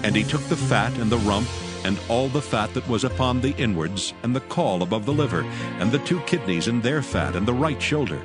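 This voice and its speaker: A man narrating scripture from a script in a solemn manner, with measured phrasing. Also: medium pitch, expressive. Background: music.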